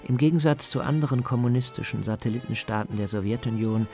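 A man's voice: mid-range voice, fast, a little mellow, faint music behind. A man narrating a radio documentary from a script, as its author telling the story.

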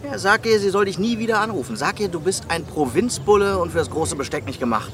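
A man's voice: high voice, expressive, fast talker, noisy background. A man playing a detective's blunt, talkative buddy in a crime radio drama, colloquial and needling.

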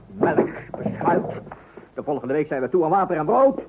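An actor playing an excitable, fretting husband in a radio play, blustering and theatrical about household matters. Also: male voice, on the high side, normal speed, very noisy. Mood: indignant. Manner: blustering, dramatic, emphatic repetition. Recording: muffled narrow-band old radio recording.

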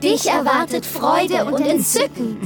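A woman's voice: sits high, expressive, a little slow. An actress playing a stern, lofty lady of a queen's retinue in a theatrical radio play, speaking in a ceremonial, commanding way. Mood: solemn. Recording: music behind the voice.

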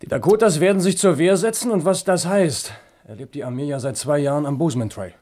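An actor playing an earnest, wary frontier farmer in a German radio play, speaking in a measured manner. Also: male voice, a little high, a little mellow, lightly acted.

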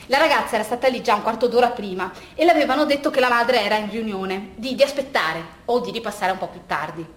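High-voiced woman storyteller in an echoey room. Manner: dramatic, halting repetitions. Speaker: monologue performer. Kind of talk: theatrical monologue storytelling. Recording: very echoey room with faint noise.

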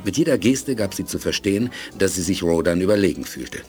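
A man's voice: low voice, animated, brisk. A man narrating a space adventure in a composed, scripted manner for a 1980s German radio play. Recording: music under the voice.

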